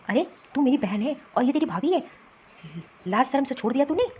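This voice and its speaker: A woman's voice: high voice, animated, fairly quick, a little mellow. A woman voicing an earnest, reproachful young husband torn between his wife and his family in a cartoon drama. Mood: outraged. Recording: dull lo-fi sound, faint noise.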